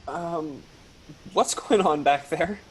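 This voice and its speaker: A woman's voice: low-pitched, slow pace, darkish tone, a little hiss or hum, rough recording. A woman voicing a nervous, defensive young boy castaway in a sci-fi table read, a little hesitant. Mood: startled, uneasy.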